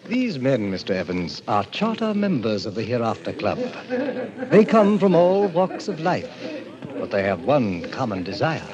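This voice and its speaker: An actor playing a suave, sinister middle-aged club president, theatrical and courtly, in 1940s radio drama style. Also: male voice, mid-range voice, very animated, normal speed, a crowd in the background, close to an everyday voice. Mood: smoothly sinister. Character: suave, sinister fifty-year-old president of a death club. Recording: muffled old-time radio recording.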